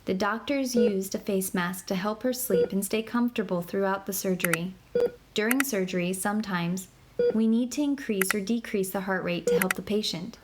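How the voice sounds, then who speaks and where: A woman reads scripted instructions for a children's medical app in an even, measured voice. Her pitch sits in a middle range. A steady background noise runs under the recording. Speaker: narrator of an interactive medical app for kids.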